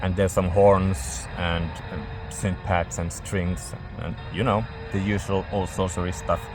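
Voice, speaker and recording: A man casually reviewing albums in a halting way, searching for words as he goes. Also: sits low, level tone, over music. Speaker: music reviewer.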